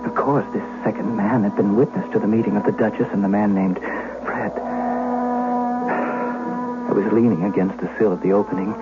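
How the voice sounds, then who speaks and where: A man's voice: on the low side, a little fast, very noisy, lightly acted. An actor playing an earnest, nervous young shepherd who tells his tale dramatically in an old radio drama. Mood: uneasy, suspenseful. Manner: dramatic, stammering when challenged. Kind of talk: old-time radio drama acting and narration. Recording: muffled old radio recording.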